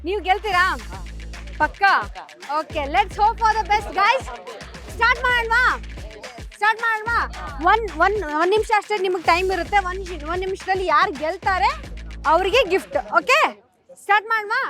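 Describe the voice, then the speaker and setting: A woman's voice: very high-pitched, moderate pace, long pauses. A woman with a Kannada accent hosting a college game show, urging on a student audience with short repeated phrases. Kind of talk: lively event hosting, addressing a student crowd. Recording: loud music and cheering crowd behind.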